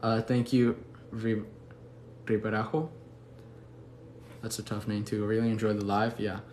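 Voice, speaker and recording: A man's voice: lowish voice, level tone, normal speed, a little mellow. A young man from Mexico City chatting casually on a livestream, laid-back, slangy and affectionate as he answers viewers. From Mexico City, Mexico, later the US. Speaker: livestream host. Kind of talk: casual livestream chat reading viewer comments. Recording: echoey room, faint background noise.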